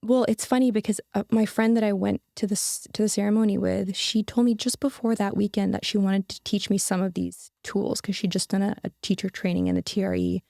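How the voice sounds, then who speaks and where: A young woman shares a personal story on a podcast, earnest and full of fillers and restarts. Her mid-pitched voice is warm and mellow with a gravelly edge. The sound is dry and close. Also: steady tone, a little fast, hardly any pauses, no echo. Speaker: podcast guest. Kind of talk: casual reflective storytelling.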